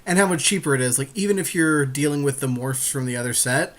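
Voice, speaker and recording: A man's voice: mid-range voice, quick pace. A man co-hosting a podcast, chatting casually and agreeably about card picks in a game draft. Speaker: podcast co-host.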